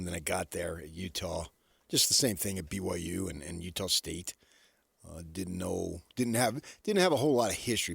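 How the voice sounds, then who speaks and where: A middle-aged or older American man with a low, creaky voice co-hosts a sports talk show. He chats casually and rambles a little, doubling back on his words. The studio sound is close and dry. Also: dry recording. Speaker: sports radio co-host. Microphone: close studio radio microphone.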